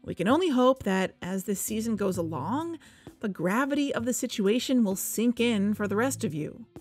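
A woman's voice: fairly high pitch, animated, moderate pace, darkish tone, husky, soft background music. A woman acting as an earnest, insistent podcast host in a conversational audio drama. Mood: defensive, urgent.

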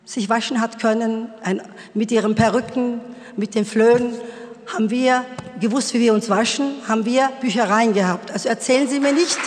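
A middle-aged woman telling a story at a panel discussion, animated and forceful, speaking into a table microphone. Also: a little high, background noise. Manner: animated, gestures a lot, grows forceful. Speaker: panel guest. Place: wood-panelled hall, seated at panel table. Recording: long hall reverb.

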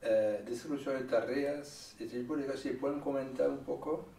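A man's voice: medium pitch, fairly even intonation, normal speed, gravelly, warm tone. A man asks hesitant, trailing questions off camera, his voice distant and roomy. Faint room noise sits behind him. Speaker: interviewer. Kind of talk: interview questions.

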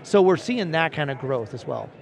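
A man in his forties with a voice pitched a little high for a man talks through survey findings at a steady, explanatory pace. He is seated at a booth on a busy convention floor and keeps checking in with "right?" as he makes each point. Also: moderate pace. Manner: steady explanatory, cites statistics, frequent "right?". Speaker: principal analyst. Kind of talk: conversational analyst interview.

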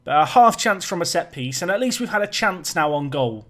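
A man giving chatty, joking live commentary over a football management game, his voice a little raspy today. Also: high-pitched, very animated, a little fast, fairly bright tone. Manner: chatty, joking, self-deprecating. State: slightly raspy voice. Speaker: gaming YouTuber.

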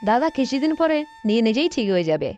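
A woman plays a young village sister in a cartoon, curt and self-concerned. Her voice is high and the sound is dull and lo-fi, with faint music behind. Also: very animated, fast, darkish tone. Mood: dismissive. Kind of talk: Bengali moral-story cartoon acting.

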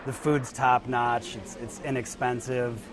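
A man in his thirties talking casually about restaurants as a guest in a TV news studio, relaxed and hesitant, with lots of fillers. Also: mid-range voice, unhurried, crowd noise.

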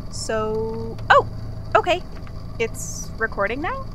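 Audio drama role: male archival assistant woman performer, high voice, chatty and hesitant confessional monologue, noisy background. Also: wildly swooping pitch, slow, exaggerated voice. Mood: awkward, rambling. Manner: rambling, hesitant, conversational.

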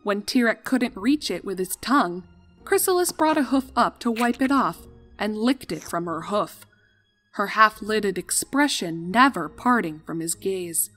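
A woman's voice: mid-range voice, lively intonation, a little slow, fairly bright tone. Woman narrating a story over music. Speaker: fan fiction narrator. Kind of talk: expressive scripted story narration.

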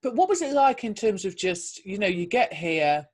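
A woman's voice: mid-range voice, very animated, moderate pace, a little mellow. A middle-aged woman, interviewing casually from a bedroom on a video call. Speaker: interviewer, host. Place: indoors, bedroom at home.

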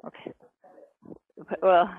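A woman's voice: drawn-out words, background noise. An older woman talking on the telephone in a plain-spoken, proper, questioning way. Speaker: prank call recipient. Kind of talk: unscripted phone conversation. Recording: narrow tinny phone line.

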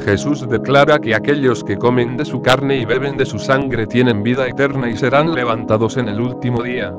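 A man giving a scripted devotional reflection on a gospel passage in a steady, even reading voice. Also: medium pitch, normal speed, music in the background.

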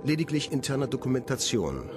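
A man's voice: medium pitch, sing-song, brisk, natural voice. An actor playing a formal male scientist dictating a research protocol in a German radio drama. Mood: formal, detached.

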